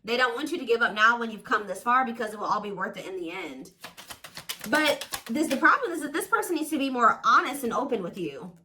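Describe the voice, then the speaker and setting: A woman's voice: on the high side, animated, a little fast, bright, forceful tone. A woman giving a casual, intuitive tarot reading to viewers, speaking informally and with emphatic assurance. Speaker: tarot reader, host.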